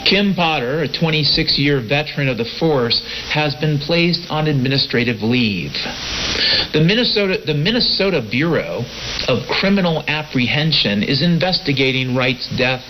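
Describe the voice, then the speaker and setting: A man's voice: a little high, animated, normal speed. An American man hosting a political radio show in an opinionated, sardonic manner, reading and commenting on news. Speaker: podcast and shortwave show host. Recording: hissy, noisy shortwave radio reception. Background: loud static hiss.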